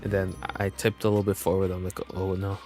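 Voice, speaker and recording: A man's voice: low-pitched, monotone, fast talker. A man streaming a video game with casual, rambling, informal commentary. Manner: informal, rambling, mild swearing. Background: game music.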